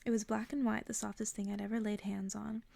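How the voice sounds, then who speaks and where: A woman reading a bedtime story as ASMR in a soft, breathy whisper, hushed and gentle. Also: medium pitch, level tone, fairly quick. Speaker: ASMR creator reading stories aloud. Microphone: close-up microphone.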